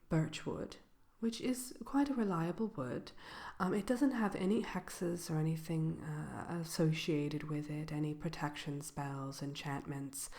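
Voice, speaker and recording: A woman playing a polite, slyly malicious wicked witch selling brooms in a soft, hesitant, unhurried ASMR roleplay. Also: low-pitched, vocal fry, full character voice. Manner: hesitant, unhurried, genteel shopkeeper patter. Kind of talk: ASMR roleplay, soft-spoken and partly whispered.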